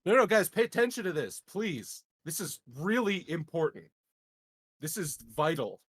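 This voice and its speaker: A man's voice: fairly high pitch, sing-song, normal speed, fairly bright tone, slightly rough, no room sound, studio quality. A man playing a tabletop roleplaying game, chatting and improvising jokes, often repeating words as he stammers. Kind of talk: casual joking improv chat.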